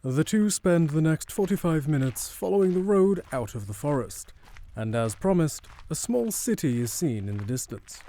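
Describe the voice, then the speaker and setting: A man's voice: mid-range voice, warm tone. A man giving scripted third-person narration as the storyteller of an audio drama.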